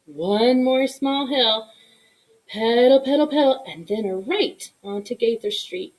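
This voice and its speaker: Female storybook reader: somewhat high voice, expressive narration, deliberate dramatic emphasis. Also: rough recording. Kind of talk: expressive children's read-aloud narration.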